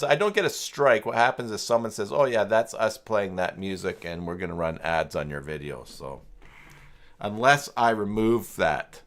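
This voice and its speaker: A man hosting a casual live software tutorial, thinking aloud in an informal, unscripted way as he works through the steps. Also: lowish voice. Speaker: livestream host and software tutor.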